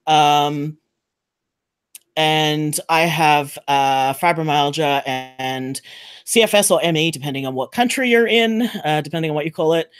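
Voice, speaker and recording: A man's voice: fairly high pitch, a little slow, clear, resonant tone, no room sound. Man speaking on a panel, dry sound. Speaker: podcast panelist. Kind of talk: casual, explanatory panel talk. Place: remote video call.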